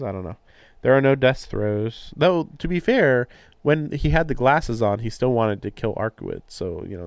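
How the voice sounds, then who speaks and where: A man's voice: medium pitch, a little mellow, no echo. A man on a live game stream, reading narration aloud and breaking off for casual, puzzled commentary. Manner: puzzled, questioning asides. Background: game music.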